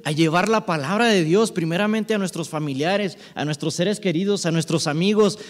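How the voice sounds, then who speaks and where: Male preacher, higher-pitched, informal conversational sermon delivery, recorded with long hall reverb. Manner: conversational, frequent rhetorical tag questions.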